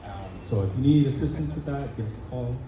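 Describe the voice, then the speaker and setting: A man from a council member's office giving quick, casual announcements at a community meeting. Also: mid-range voice, background noise. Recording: echoey hall, heard from far off.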